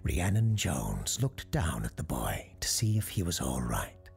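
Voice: rumbling low, very animated, a little slow, gravelly, a little mellow, faint music behind. A storyteller giving a scripted narration of a spooky tale. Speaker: storyteller, show host character.

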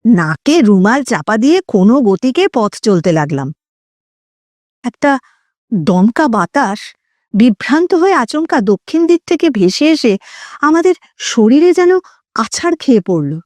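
A woman narrates literary fiction in a fairly high, very animated voice. Her delivery is dramatic and deliberate, captured in a dry, close sound. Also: no echo. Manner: dramatic, deliberate phrasing with acted dialogue. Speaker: audio story narrator and host. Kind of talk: expressive literary story narration.

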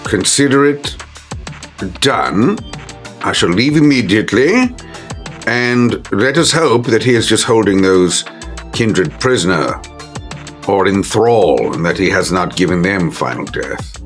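A man playing a deferential gentleman vampire enforcer who speaks formally and with some hesitation. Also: on the low side, expressive, unhurried. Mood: resolute. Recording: music behind the voice.

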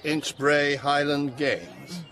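An actor playing a blunt, haunted military captain who questions things tersely in a radio drama. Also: male voice, on the high side, very slow, fairly bright tone. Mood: puzzled, dry. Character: gruff military captain, haunted, practical, adult man. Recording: music under the dialogue.

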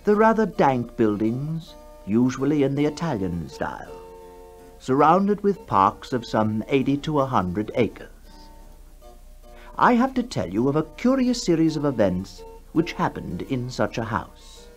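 A man narrating a ghost story for 1960s radio in a measured storytelling manner with deliberate pauses. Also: mid-range voice, wildly swooping pitch. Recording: 1960s radio broadcast with music behind.

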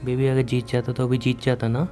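Young male, Indian accent, soft dark tone, intimate phone chat, probing, light music behind. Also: mid-range voice, monotone, a little fast. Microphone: phone call. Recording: phone call recording, faint music.

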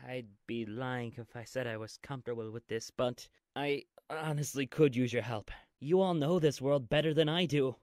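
A man's voice: medium pitch, normal speed, few pauses, darkish tone, dry recording, studio quality, lightly acted. A man voicing an earnest, resolute young alien soldier in a video game, serious and emphatic. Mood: friendly. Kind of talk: video game cutscene acting.

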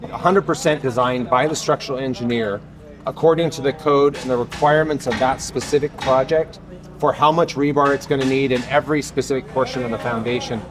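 A man's voice: medium pitch, fairly even intonation, fairly quick. A man in his fifties hosts, asking curious questions and repeating back what he learns, with a mid-range voice. He is recorded outdoors on a construction site with a clip-on mic. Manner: curious, asks follow-up questions, frequent okays. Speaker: host. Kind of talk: interview questions. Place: outdoor construction site by a basement form wall.

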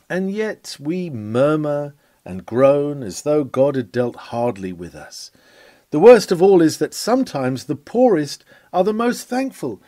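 A man's voice: fairly high pitch, mellow, no room sound. A man reading an old sermon aloud with solemn, rising and falling emphasis. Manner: rises and falls for emphasis, solemn pauses. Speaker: reader of a historic sermon.

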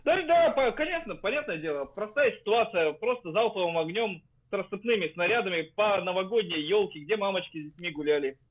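A man's voice: high-pitched, animated, a little fast, few pauses. A man in his thirties on a video call with an earphone mic, combative and dismissive. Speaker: chat roulette stranger. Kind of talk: argumentative video chat. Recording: tinny video call line.

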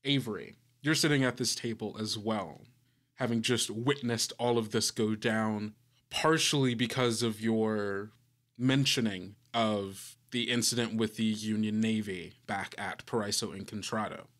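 Slow-paced, low-voiced man narrating. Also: fairly even intonation, fairly bright tone. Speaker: game master. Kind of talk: narrating a tabletop game.

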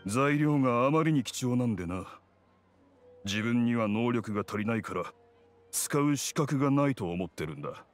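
A man voicing a gruff, proud blacksmith in a video game, speaking in a blunt, measured, rough-spoken way. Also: medium pitch, expressive, natural voice. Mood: solemn, earnest. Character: gruff, proud, no-nonsense male blacksmith craftsman. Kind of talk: Japanese video game voice acting. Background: background music.

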